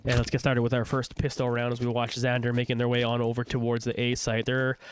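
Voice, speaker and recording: A man's voice: mid-range voice, completely flat delivery, fast, background noise. A young man casting a game on a livestream with a cold, chatty and rambling, with many fillers and restarts. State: has a cold, stuffy. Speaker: esports shoutcaster. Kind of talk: casual play-by-play commentary on a livestream.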